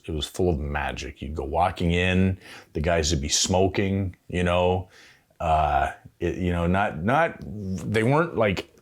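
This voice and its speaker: A Canadian man in his fifties telling stories casually as an interview guest, in a halting, thoughtful way. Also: sits low, breathy edge. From Ontario, Canada. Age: 50s. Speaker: veteran tattoo artist, interview guest. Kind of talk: casual reminiscing, storytelling.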